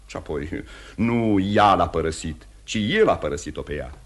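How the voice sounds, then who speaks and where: An actor playing a calm, kindly older gentleman in a theatrical radio drama, speaking in a measured, courteous and gently admonishing way. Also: low-pitched, slightly rough, heavily acted. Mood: reproachful, persuasive.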